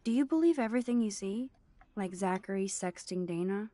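An actress playing a shy, wry teenage girl in a video game, soft-spoken and dryly sarcastic. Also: mid-range voice, animated, fairly quick, no echo, close to an everyday voice.

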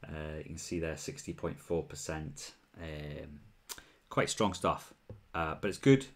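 A man's voice: rumbling low, unhurried. A young man from Wales with a mild Welsh accent, hosting a casual whisky livestream at home, chatting and answering viewers' comments through a wired earphone mic. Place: small room at home, bottles on a shelf behind.

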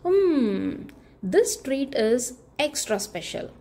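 A woman reading a picture book aloud to young children in an expressive, deliberate way, stressing key words and posing questions to her little listeners. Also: high voice, creaky. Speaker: children's book reader, channel host.

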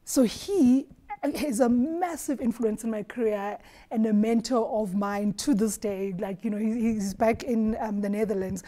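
A woman from Eswatini on a studio panel, telling stories in an animated way and laughing often, recorded on a lapel mic. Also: fairly high pitch, measured pace. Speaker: marketing executive panelist. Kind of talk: roundtable discussion. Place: dark studio set at a round table.

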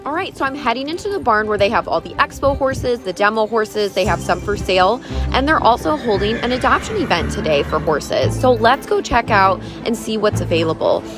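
A woman's voice: a little high, lively intonation, brisk. Gushing woman vlogger over music. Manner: gushing, enthusiastic. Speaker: vlogger and horse owner. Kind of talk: casual vlog narration.

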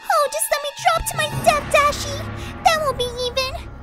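A hysterical, hyperactive cartoon mare, extremely high, shrill and piercing. She wails and repeats herself frantically, forever apologizing, with music underneath. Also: steady tone, normal speed, pushed and bright. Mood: frantic. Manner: shrill, wailing, frantic, repetitive. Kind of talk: animated fan radio play acting.

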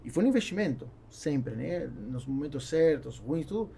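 A middle-aged man, a native Spanish speaker with a South American accent, talking casually and haltingly as an interview guest. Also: fairly high pitch, lively intonation, gravelly, warm tone, a little hiss or hum. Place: small studio with acoustic foam, indoors. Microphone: podcast microphone on boom arm.

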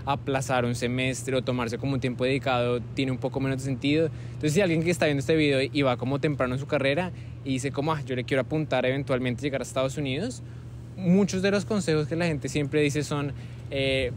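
A young man hosting a casual improvised interview on a campus, relaxed and chatty with frequent fillers. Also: mid-range voice, brisk, slight background noise. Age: young adult. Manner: relaxed, conversational, many fillers like "como" and "eh". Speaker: host, medical student interviewer. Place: outdoors on a university campus plaza with trees.

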